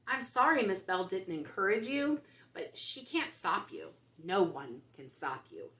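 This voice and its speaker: A woman's voice: mid-range voice, big pitch swings, unhurried, a bit bright, roomy sound. A woman reading a picture book aloud as a tender mother comforting and encouraging her daughter.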